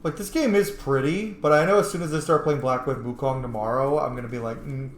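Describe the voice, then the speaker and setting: A man's voice: mid-range voice, brisk, a little hiss or hum. A man giving casual let's-play commentary on a video game, informal, exclamatory and given to swearing. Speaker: video game streamer, host. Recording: roomy, echoing room sound.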